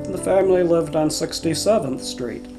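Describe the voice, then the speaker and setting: A man's voice: a little high, moderate pace, over music. A man in his sixties from Chicago, speaking plainly in an interview about his childhood. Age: 60s. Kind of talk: interview recollection.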